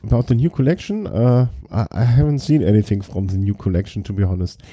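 A man's voice: fairly low pitch, a little fast, dark tone, no room sound. A man co-hosting a casual cycling podcast, joking and rambling with frequent restarts. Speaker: podcast co-host. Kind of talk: casual chat.

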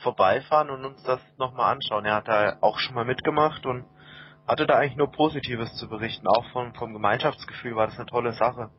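A man from Baden-Württemberg hosts an informal interview in a medium-pitched voice. He speaks discursively, building long, loose questions. The sound is slightly dull and lo-fi. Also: brisk. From Baden-Württemberg, Germany. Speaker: interviewer, host. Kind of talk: casual conversational interviewing.

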